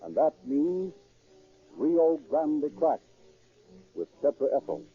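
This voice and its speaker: A man's voice: a little high, very animated, takes it slowly, over music. A man announcing and narrating in scripted 1930s radio style, formal and declamatory. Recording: tinny 1930s radio recording.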